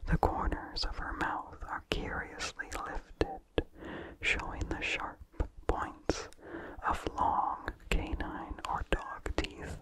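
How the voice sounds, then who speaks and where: Slow, hushed whispering ASMR narrator. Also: poor audio. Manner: soft, unhurried whispering, measured diary-style reading. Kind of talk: whispered ASMR reading of a vintage horror story.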